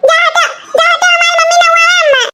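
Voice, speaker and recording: Squeaky, whiny Awadhi little boy. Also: level tone, fast, background noise. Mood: defiant, whining. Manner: whiny, babyish pronunciation. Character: stubborn whining little village boy wanting his mummy. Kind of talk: comic cartoon dialogue.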